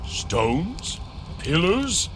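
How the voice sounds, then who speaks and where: Wry, animated adventurer. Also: male voice, high voice, extremely slow, background noise, full character voice. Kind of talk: video game cutscene acting. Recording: music under the voice.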